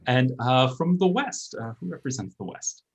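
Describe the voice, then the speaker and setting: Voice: medium pitch, very animated, normal speed, husky, lo-fi sound. A co-host of a livestream show who reacts in a dry, understated way.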